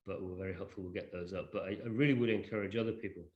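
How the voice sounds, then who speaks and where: A man presenting a digital library informally to an online session, speaking in a halting, hesitant way. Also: low voice, fairly even intonation, a little mellow, highs cut off. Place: online video call.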